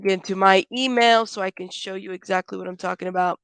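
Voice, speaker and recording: A woman hosting a live commentary stream, stuffed up and a little hoarse, talking bluntly and chatting with viewers by name. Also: medium pitch, steady tone, fast talker, a bit bright, no room sound, rough recording. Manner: blunt, frank, calls people out, swears; chatty, greets viewers by name, many fillers. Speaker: YouTube commentary host.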